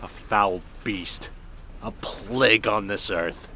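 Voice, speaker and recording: Low-voiced, grim monster hunter. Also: male voice, slow. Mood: grim, menacing. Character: grim, stern male monster hunter questioning a witness. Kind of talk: radio drama acting. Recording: loud noise behind the voice.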